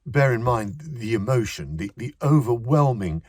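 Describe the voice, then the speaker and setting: A man voicing a self-important middle-aged male stage actor who talks in fussy, halting bursts. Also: medium pitch, lively intonation, darkish tone, no echo, clean studio sound, voice pushed up, close to an everyday voice.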